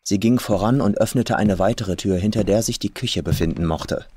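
A man reading a scripted horror story with atmospheric, deliberate delivery. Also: on the low side, a little mellow, a little hiss or hum. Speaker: professional voice actor, audiobook reader. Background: atmospheric music.

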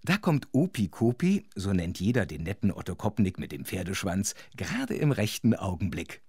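A man's voice: deep voice, sing-song, normal speed, darkish tone, breathy edge, no echo, studio quality, full character voice. A man playing a chatty, sympathetic storyteller, narrating a children's audio drama with wry, conversational asides. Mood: amused sympathy.

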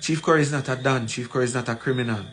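Jamaican guy talking slow n emphatic to his phone, repeats himself, bit of room noise behind. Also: medium pitch, a bit bright. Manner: deliberate, emphatic, repeats phrases. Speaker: community leader. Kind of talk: impassioned address to camera. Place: small plain room indoors. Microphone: phone at arm's length. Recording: noisy room, ambient background sound.

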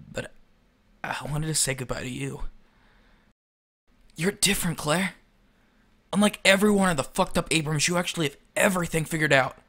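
A man acting in an audio drama as a subdued, earnest young man with a halting way of talking. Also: fairly high pitch, a bit bright. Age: young adult. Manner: halting, hesitant.

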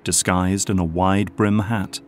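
A man's voice: sits low, flat delivery, a little slow, faint music behind. A man narrating a scripted audio drama.

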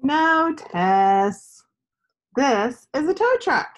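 A woman's voice: high-pitched, very animated, extremely slow, lo-fi sound, pitched above the performer's own voice, exaggerated voice. A woman voicing a patient child in a picture book, answering in an emphatic, instructive way. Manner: emphatic, instructive storybook delivery.

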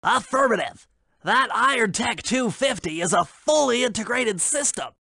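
An actor voicing a nerdy, anxious young turtle tech genius in a video game, fussy, bookish and nasal. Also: male voice, extremely high pitch, animated, normal speed, vocal fry, sharp tone, no room sound, clean studio sound, exaggerated voice. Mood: fussy, nervous.

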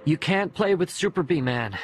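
An earnest young boy, put on by a male narrator in an audiobook. The voice is raised in pitch, childlike and stubbornly insistent, with faint music behind. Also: expressive, unhurried. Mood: coaxing, teasing. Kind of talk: audiobook character voice.

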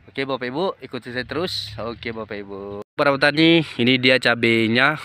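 Casting: man, 30s, clear resonant mid-pitched voice, casual farm vlogger with hesitant phrasing. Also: normal speed, bright, forceful tone. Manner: hesitant, repeatedly addressing the audience. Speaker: farming channel host. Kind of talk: casual field vlog addressed to farmers. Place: outdoors in a chili field. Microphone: phone at arm's length.

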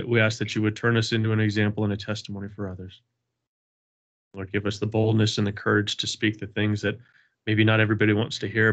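A man with a slight cold leads a group over a video call, his voice low-pitched and steady with little rise and fall. He prays in an earnest, repeating cadence, then talks casually. The call audio sounds dull and muffled. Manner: earnest, repetitive prayer cadence. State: has a cold, sniffling. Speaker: Bible study leader. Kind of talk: prayer and casual group talk.

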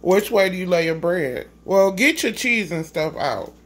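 A woman filming her child cooking in a small home kitchen, chatty and coaching, gently correcting as she goes, recorded on a phone. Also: fairly low pitch, very animated, normal speed. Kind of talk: casual home cooking vlog. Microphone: phone camera held nearby.

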